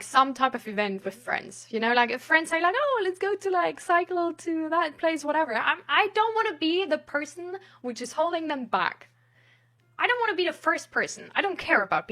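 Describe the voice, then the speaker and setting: High-voiced woman chatting casually on stream. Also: very animated, normal speed, sharp tone. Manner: rambling, giggly, hesitant phrasing with frequent "like". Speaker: live streamer. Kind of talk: casual chat with viewers, reading out their messages.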